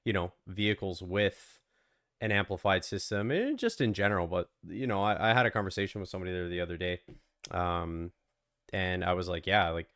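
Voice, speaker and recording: A man co-hosting a podcast in casual chat, his voice hoarse from being sick, riffing in a laid-back way. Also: low-pitched, fairly quick, fairly bright tone, dry recording, studio quality. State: hoarse from illness. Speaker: podcast co-host.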